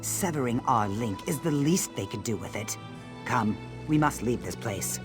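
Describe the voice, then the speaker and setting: Fantasy game reptilian male , played by a man: grave, formal, urgent, mid-pitched, over prominent music. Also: expressive, moderate pace, close to an everyday voice. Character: grave Argonian lizard-man, urgent and solemn. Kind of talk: fantasy video game dialogue.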